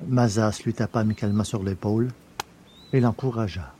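A man's voice: lowish voice, steady tone, fairly quick, warm tone, slight background noise. A man narrating a scripted science fiction adventure story in a literary, storytelling style.

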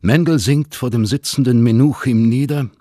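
A man narrating a literary radio play with expressive, deliberate phrasing and a husky edge. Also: medium pitch, no room sound, studio quality.

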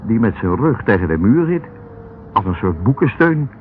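An actor playing an excitable, wary police sidekick in a radio play, exclaiming in colloquial speech. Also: male voice, on the low side, moderate pace. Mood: startled, wary. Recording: narrow, noisy old radio recording.

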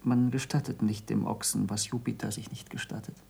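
An actor playing an earnest, intense former pupil of a scientist in a German radio play, speaking tersely and formally, with stern gravity. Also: male voice, fairly low pitch, steady tone, brisk, gravelly, mellow, slightly rough. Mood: curt, reproachful.

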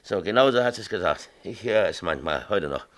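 Deep-voiced, animated, aggrieved middle-aged father. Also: a little fast. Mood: remorseful, agitated. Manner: emphatic, agitated confessional ranting, seeking agreement. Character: tipsy, aggrieved small-town mayor and strict, troubled father. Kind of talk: 1970s East German radio drama acting.

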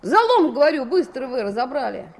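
A performer playing a guarded, defiant runaway village boy with rough speech in a radio play. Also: high-pitched, sing-song, a little fast. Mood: wary, curt.

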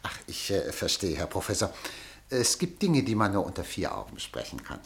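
An actor in a radio play playing a self-important, insinuating newspaper director, ingratiating and full of meaningful hesitations. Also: male voice, low-pitched, huge pitch swings, a little fast, gravelly, exaggerated voice. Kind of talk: German radio drama acting.

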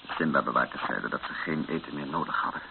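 An actor playing a grave, resigned missionary priest in a radio drama, speaking in a sombre, measured way. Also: male voice, rumbling low, steady tone, vocal fry. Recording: muffled, noisy old radio recording.

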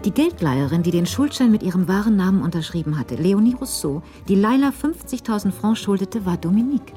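A woman narrating a scripted German radio crime drama in a measured, literary delivery. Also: mid-range voice, animated, mellow, faint music behind.

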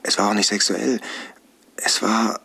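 An actor playing a confused, earnest therapy patient in a satirical radio play, hesitant and groping for words. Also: male voice, lowish voice, steady tone, unhurried, gravelly, pushed and bright, slightly rough, full character voice, low-quality recording. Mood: bewildered, confessional.

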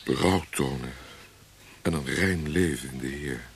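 An actor playing an anxious, fickle eighteen-year-old poet in a theatrical radio drama style. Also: male voice, very deep voice, measured pace. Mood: subdued, guilty. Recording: noisy radio play recording with clicks.

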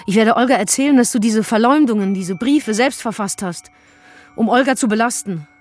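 An actress playing a plain-spoken, needy housekeeper in a radio crime drama, direct and unguarded. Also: female voice, fairly high pitch, normal speed, slight background noise, close to an everyday voice. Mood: hurt, threatening.

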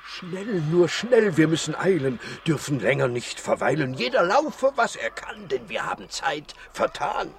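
Excitable, high-voiced hurrying companion. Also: male voice, unhurried, hardly any pauses. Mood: urgent, excited. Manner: urgent rhymed declaiming. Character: hurried, excitable companion urging the escape. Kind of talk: German children's radio play acting.

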